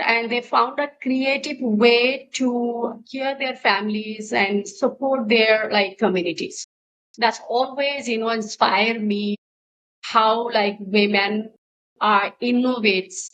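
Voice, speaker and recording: Slow hesitant woman with a Nepali accent. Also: medium pitch, a bit bright, a little hiss or hum, low-quality recording. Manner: hesitant, many fillers, searching for words. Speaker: guest, social entrepreneur. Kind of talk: interview answers.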